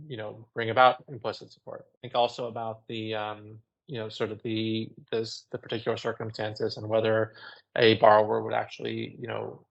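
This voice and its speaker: A man with a lowish voice and plenty of fry gives measured advice as a panel specialist, hedging conversationally as he goes. His intonation stays fairly flat, and the audio is a little dull. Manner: measured advisory talk with frequent "you know" and tag questions. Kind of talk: expert panel discussion, unscripted.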